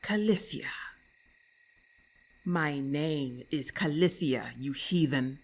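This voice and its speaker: A woman's voice: low-pitched, slow pace, breathy edge, heavily acted. A woman voicing a haughty, irritable lamia in an ASMR roleplay, with hissing, imperious and formal speech, scornful and menacing. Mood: suspicious.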